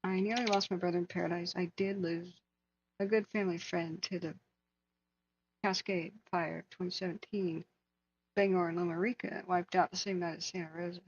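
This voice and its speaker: Hoarse woman hosting a livestream. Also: on the low side, fairly even intonation, brisk, muffled recording. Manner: earnest, talks to chat viewers by name. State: hoarse, losing her voice. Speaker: wildfire tracking livestream host. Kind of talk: casual livestream chat.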